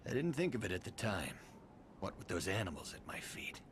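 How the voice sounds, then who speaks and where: A low, brooding, bitter heir. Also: male voice, very animated, a little fast. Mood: ominous, musing. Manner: ominous, brooding storytelling with hushed phrase endings. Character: brooding, bitter heir of a sinister amusement-park tycoon. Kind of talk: video game cutscene monologue. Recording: faint background ambient noise. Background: faint ambience.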